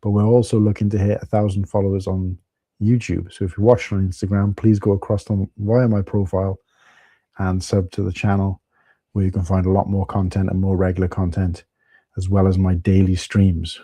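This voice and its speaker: Man, husky, very dark and mellow, low flat voice, dry close sound, rambling live stream chat. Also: brisk, dry recording. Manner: rambling, chatty, many fillers and restarts. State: husky from tiredness.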